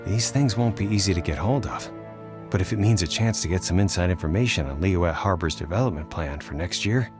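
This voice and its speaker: A man's voice: low voice, fairly quick, close to an everyday voice. A man playing a humble, anxious businessman in a video game, with a polite, earnest delivery. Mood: calculating, urgent. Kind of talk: scripted video game dialogue. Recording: faint music behind the voice.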